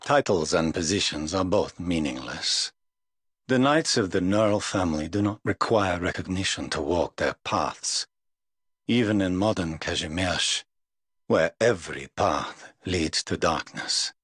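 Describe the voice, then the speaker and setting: A tired aloof knight, really low husky voice, dry booth sound. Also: male voice, lively intonation, fairly bright tone, dry recording, exaggerated voice. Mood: weary. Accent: English. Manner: dry, world-weary, measured. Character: weary aloof middle-aged male knight. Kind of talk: game voice lines.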